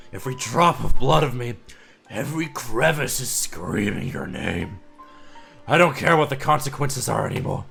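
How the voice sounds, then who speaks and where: An obsessive, lovesick young woman murmurs her devotion in a hushed, intense way, as a hoarse man reads her part aloud. The voice sits low and gravelly, with faint game music playing underneath. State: hoarse, losing his voice.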